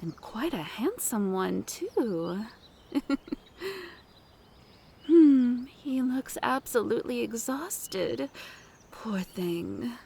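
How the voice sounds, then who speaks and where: A woman plays a mature, motherly woman of the woods in an ASMR roleplay. Her voice sits fairly high and she takes her time over every phrase. She is flirtatious and doting, coaxing the listener with pet names. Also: animated, extremely slow, gravelly, pauses often, mellow, slightly rough, exaggerated voice. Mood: admiring, concerned.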